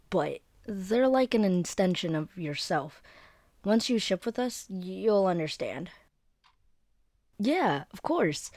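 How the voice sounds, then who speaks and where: A woman's voice: mid-range voice, darkish tone, no room sound, clean studio sound, heavily acted. A woman playing a friendly, flustered young werewolf in an audio roleplay, explaining things in a hesitant, informal, rambling way. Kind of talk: scripted one-sided roleplay audio drama, conversational.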